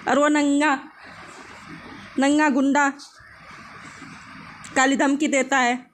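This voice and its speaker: Woman ranting casually, really high voice, confrontational and scolding, bit of noise behind her. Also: flat delivery, takes it slowly. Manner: scolding, confrontational, repeats phrases mockingly. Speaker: vlogger commenting on an online controversy. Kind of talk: casual opinionated rant.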